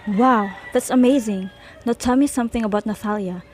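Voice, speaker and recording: A woman's voice: fairly high pitch, fairly quick, darkish tone, close to an everyday voice. A woman playing a curt investigator. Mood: businesslike. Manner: curt questioning. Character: brisk female investigator questioning students.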